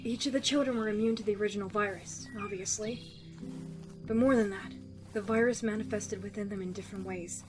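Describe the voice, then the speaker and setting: A woman playing a guarded, sickly young survivor of cruel experiments in an audio drama, speaking in a wry, measured, confiding way. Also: medium pitch, steady tone, over music, close to an everyday voice. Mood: grim, confiding.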